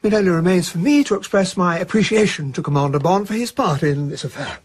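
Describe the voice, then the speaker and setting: Actor as a fussy, high-voiced English colonial governor. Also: male voice, a little hiss or hum, close to an everyday voice. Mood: stiffly formal. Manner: pompous, formal, hurried. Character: fussy, cautious colonial acting governor, pompous man. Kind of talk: radio drama acting.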